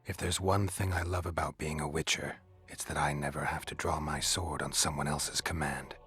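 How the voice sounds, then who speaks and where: World-weary monster-hunting mercenary, very low voice, terse, dry, understated, fantasy game drama, faint music. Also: male voice, level tone, normal speed, a bit bright, slightly rough, full character voice. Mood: sardonic. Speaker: video game character.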